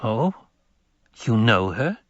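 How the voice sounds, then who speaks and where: A man voicing a stern, probing old woman of a religious order, solemn and deliberate, in an audiobook. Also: lowish voice, sing-song, no echo, lo-fi sound.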